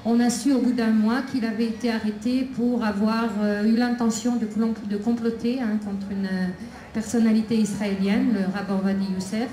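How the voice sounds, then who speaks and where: A woman speaking at a festival debate about her imprisoned son, talking haltingly with many pauses and restarts. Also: fairly high pitch, fairly even intonation, mellow. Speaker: prisoner's mother, invited speaker. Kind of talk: public talk at a debate. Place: outdoor festival debate tent. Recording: echoey PA with crowd ambience.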